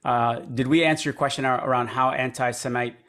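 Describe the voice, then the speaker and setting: A man's voice: mid-range voice, steady tone, fairly quick, a bit bright. Hesitant male interview host. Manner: rambling, hesitant questions with restarts. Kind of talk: conversational interview.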